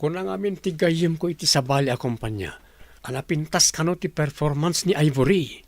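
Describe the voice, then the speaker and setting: A man's voice: on the high side, moderate pace, no echo. A man with an Ilocano accent playing a skeptical, questioning company colleague in a radio drama. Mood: concerned.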